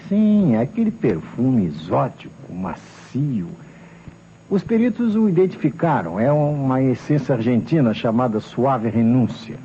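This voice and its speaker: An actor playing an earnest, deferential Brazilian police assistant thinking aloud in an old radio crime drama. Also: male voice, medium pitch, big pitch swings, measured pace, dark tone. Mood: pondering, excited. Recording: dull old radio recording, faint noise.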